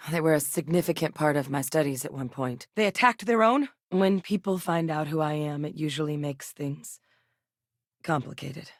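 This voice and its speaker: A woman playing a seasoned, guarded ex-military scientist in a video game, speaking in a measured, serious, matter-of-fact way. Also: low voice, no echo, clean studio sound. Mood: serious, explaining. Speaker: video game companion character.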